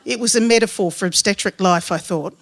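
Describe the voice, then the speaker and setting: A woman with an Australian accent reading her written true story to listeners as a storyteller, in a measured, literary way. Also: mid-range voice, fairly bright tone, slight background noise. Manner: measured, literary, descriptive delivery.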